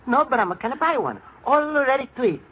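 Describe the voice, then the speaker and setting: An actor playing a kindly, lonely Italian immigrant in a radio comedy, speaking earnest, bewildered broken English with an Italian accent. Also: male voice, very high-pitched, sing-song, a little fast, husky, full character voice. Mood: hopeful. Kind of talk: old-time radio comedy acting. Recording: noisy, tinny old radio recording.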